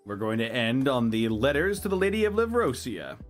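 A man's voice: medium pitch, very animated, a little fast, faint music behind. A man co-hosting a live stream in a casual chatting style. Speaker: stream co-host.

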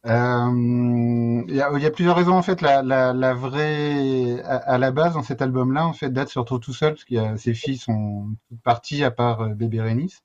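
A man's voice: mid-range voice, steady tone, moderate pace, darkish tone, muffled recording. A middle-aged man, a guest author explaining casually over a video call. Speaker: guest comic book author. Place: indoor room with bookshelves, video call.

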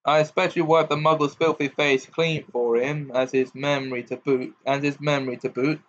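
A man's voice: fairly high pitch, level tone, normal speed, close to an everyday voice. A man playing a bellowing, sneering old brute. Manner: bellowing, sneering, growls "arr". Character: brutish old man, ranting and menacing. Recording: dull lo-fi recording.

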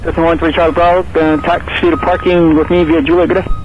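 A man's voice: a little high, little pitch movement, quick pace, lo-fi sound. A man working as an air traffic controller, giving clipped, procedural radio calls. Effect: aviation radio transmission.

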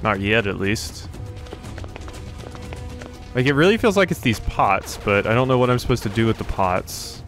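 A man's voice: on the low side, lively intonation, normal speed, pauses often, fairly bright tone. A man giving casual let's play commentary on a video game, thinking aloud as he plays. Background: video game music and effects.

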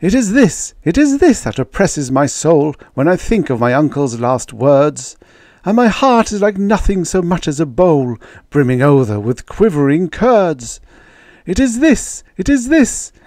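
A man doing a high, tearful timid baker. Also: big pitch swings, a little slow, a little mellow, no room sound, clean studio sound. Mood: fearful. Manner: high, quavering, pleading, fretful. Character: timid, tearful middle-aged male baker. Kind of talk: dramatic verse reading.

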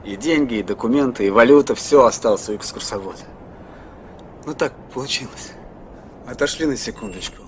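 A man's voice: medium pitch, brisk, noisy background, lightly acted. An actor playing a dry, matter-of-fact man in a film comedy.

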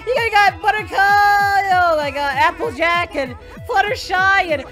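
A performer voicing an excitable young male anime hero, hosting a reaction video with melodramatic yelling and wailing. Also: very high-pitched, unhurried. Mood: outraged. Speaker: reaction channel host. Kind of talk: over-the-top reaction commentary. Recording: music playing behind.

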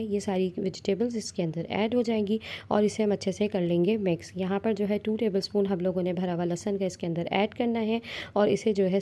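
A woman hosting a home cooking channel, talking viewers through a recipe step by step in a steady voice-over with frequent fillers. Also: medium pitch. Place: home kitchen.

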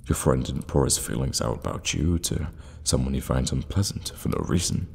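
A man playing a seductive, menacing evil warlock in an ASMR roleplay, teasing in a breathy murmur with halting pauses. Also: lively intonation, darkish tone, full character voice. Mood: teasing, amused. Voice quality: breathy low murmur, often whispering. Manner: slow, teasing, halting for effect. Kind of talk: intimate ASMR roleplay acting. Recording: long reverberant cave-like echo.

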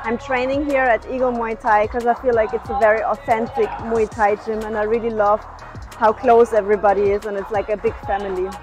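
Guy from austria talking about his gym, pretty flat voice, music behind. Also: high-pitched, a little fast. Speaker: gym student. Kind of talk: short interview to camera.

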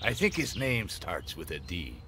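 Swaggering, taunting pirate, sharp voice. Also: male voice, low voice, wildly swooping pitch, normal speed, a little hiss or hum, heavily acted. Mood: gruff, scheming. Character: shady gruff male pirate, mocking and bossy. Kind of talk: video game voice acting.

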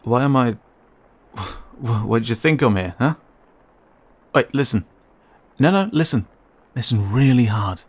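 A man voicing a cheeky, skittish young raccoon boy in an audio roleplay, muttering and grumbling with halting, sarcastic protests. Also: medium pitch, animated, husky. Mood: cheeky, defensive.

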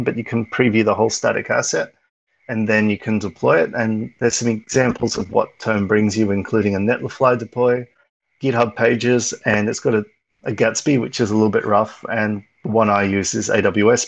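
A man's voice: lowish voice, creaky, breathy edge, low-quality recording. A man presenting at an online meetup over slides, talking casually with frequent ums and restarts. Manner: rambling, lots of ums and restarts.

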